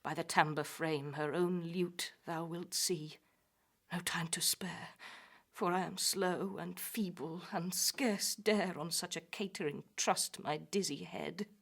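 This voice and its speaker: A man voicing a feeble, aged old dame in an audio poem, with a thin, breathy, whispery voice and a halting, fussing delivery. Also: on the high side, steady tone, a bit bright, voice pushed up, exaggerated voice. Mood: frail, hushed. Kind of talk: dramatic poetry reading, audio drama.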